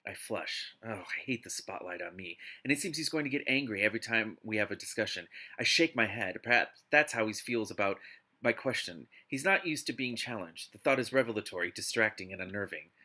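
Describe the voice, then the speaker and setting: Bright-voiced man reading aloud, expressively exasperated. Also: lowish voice, expressive, fairly quick. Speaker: host reading a book aloud with commentary. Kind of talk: live read-aloud with casual reactive commentary.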